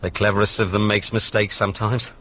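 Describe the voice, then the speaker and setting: An actor playing a methodical, self-assured police inspector in a radio drama, explaining things in a matter-of-fact way. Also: male voice, on the low side, flat delivery, a little fast, a bit bright, a little hiss or hum, natural voice. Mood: self-assured, explanatory.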